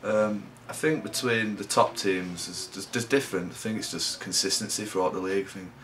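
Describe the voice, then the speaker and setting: A young man from England, a rugby league player giving low-key, halting interview answers. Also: steady tone, a bit bright, slight background noise.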